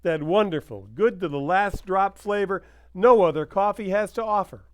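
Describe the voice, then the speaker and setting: A man playing an earnest, persuasive pitchman in an old-time radio commercial, with emphatic salesman's patter. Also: normal speed, no echo, lightly acted. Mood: earnest selling.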